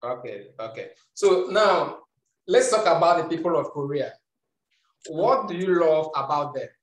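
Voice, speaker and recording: A man's voice: fairly high pitch, animated, unhurried, roomy sound, poor audio. A Nigerian man hosting an interview in casual chat, with many hesitant fillers and restarts. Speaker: host.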